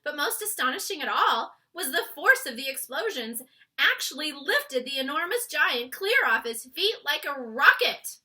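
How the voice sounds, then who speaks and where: A woman reading a children's story aloud as an expressive narrator. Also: high-pitched, moderate pace. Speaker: storybook reader.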